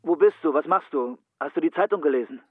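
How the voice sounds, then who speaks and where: An actor playing a brisk, cynical male friend in a radio drama, heard through a telephone filter. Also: fairly high pitch, no echo. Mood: urgent, cynical. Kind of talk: German radio drama acting. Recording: thin, dry phone-line sound.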